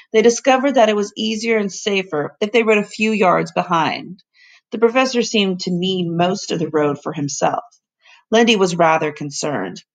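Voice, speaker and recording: A woman teacher reading a children's novel aloud to her class in a steady, expressive way. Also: mid-range voice.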